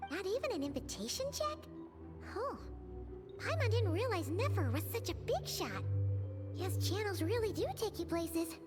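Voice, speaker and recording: A small, excitable fairy companion from a video game. The voice is squeaky, childlike and extremely high, swooping up and down in an animated way. Clear music plays behind it. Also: female voice, moderate pace. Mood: surprised, curious. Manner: talks about herself in third person.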